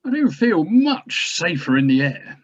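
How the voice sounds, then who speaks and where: A man's voice: fairly high pitch, big pitch swings, unhurried, highs cut off. A man playing a nervous, dry-witted helicopter passenger in a drama table read. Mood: uneasy.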